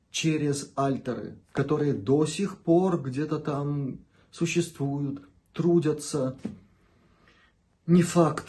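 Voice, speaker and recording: Slow, deliberate man hosting a channel. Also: fairly high pitch, mellow. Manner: measured and deliberate, stretches emphasized words, pauses between short phrases. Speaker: channel host. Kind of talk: unscripted monologue addressed to viewers.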